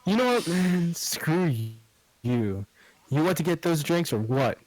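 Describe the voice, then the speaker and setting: A man reading the part of a rugged, devout monster hunter in his thirties, calm and a little preachy. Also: on the high side, slow, no echo, low-quality recording.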